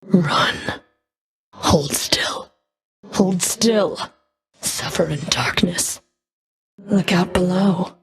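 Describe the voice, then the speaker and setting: A woman playing a cold, scornful Fury of the underworld in a video game, taunting with deliberate, contemptuous menace and a breathy edge. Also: on the low side. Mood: cruel, threatening. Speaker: video game villain voice actor. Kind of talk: video game combat voice lines.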